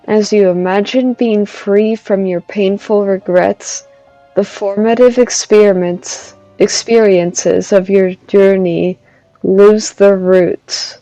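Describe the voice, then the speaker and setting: A woman streaming a video game, reading dialogue aloud carefully and deliberately through a dull lo-fi microphone. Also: medium pitch, steady tone, mellow, no echo, faint music behind.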